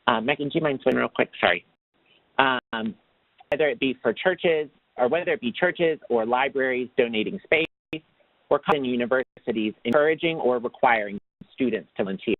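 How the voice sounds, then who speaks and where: A man presenting slides in a webinar, in a formal read-out way that turns halting off script, heard over a phone line. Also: mid-range voice, no echo. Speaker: webinar presenter, tax assistance program manager. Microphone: phone or conference line. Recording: tinny, narrow phone line.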